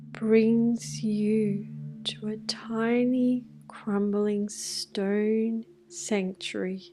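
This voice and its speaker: A woman guiding a scripted meditation in a hushed, soothing manner, leaving long pauses between phrases. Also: mid-range voice. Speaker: meditation guide. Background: music with singing bowls.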